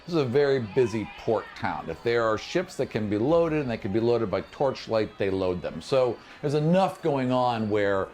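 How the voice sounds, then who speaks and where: A man narrating a tabletop fantasy game as game master, in an animated, conversational way. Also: mid-range voice, normal speed, few pauses.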